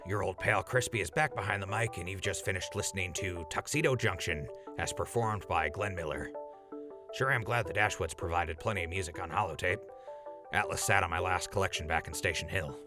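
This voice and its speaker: A man's voice: low-pitched, a little fast, over music. A man playing a chummy post-apocalyptic radio host with showy old-time disc jockey patter.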